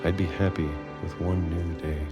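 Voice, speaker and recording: A man playing a weary middle-aged recluse, narrating a video game monologue in a slow, measured, introspective way. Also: sits low, level tone, over loud music, natural voice. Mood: wistful, hopeful. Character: weary reclusive man who hid underground for decades.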